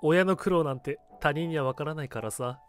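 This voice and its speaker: A man acting in a radio drama as a calm, wry barber. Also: on the high side, brisk. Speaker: narrator and lead voice actor.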